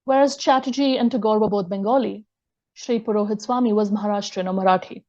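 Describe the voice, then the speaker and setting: Woman reading out a lit lecture, calm and measured, warm darkish voice, bit dull lo-fi sound. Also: medium pitch. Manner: measured, reads quotations aloud. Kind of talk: reading an academic talk.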